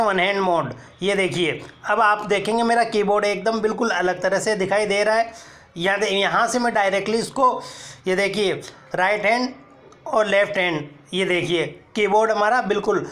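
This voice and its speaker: A man. He goes one step at a time, pausing to point things out and telling viewers to look here. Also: high-pitched, a bit bright. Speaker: tech tutorial presenter. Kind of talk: screen-recorded how-to explanation.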